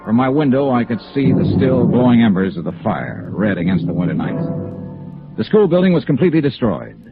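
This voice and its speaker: A wry American male investigator narrating a 1950s radio noir. His delivery is clipped and matter-of-fact, with lively rises and falls. The old broadcast sounds muffled, with music behind. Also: mid-range voice, a little fast. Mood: sober narration. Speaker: lead actor and narrator.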